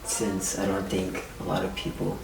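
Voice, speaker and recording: A man's voice: low-pitched, completely flat delivery, moderate pace, gravelly. A man hosting a casual interview, joking in slangy, sometimes profane talk. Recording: roomy, distant mic, faint noise.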